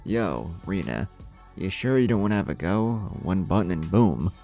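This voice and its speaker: A man's voice: low-pitched, very animated, moderate pace, creaky, dark tone, faint music behind, heavily acted. A man voicing a gleeful, sadistic female villain sidekick who talks in casual slang in a story.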